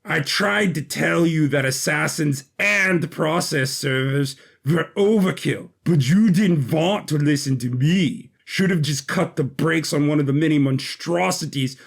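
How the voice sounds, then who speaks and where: A man's voice: fairly high pitch, a little slow, vocal fry, hardly any pauses, slightly rough, heavily acted. A man playing a snobbish, sulky villain prince in a fantasy audio story, complaining with disdain. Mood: disdainful.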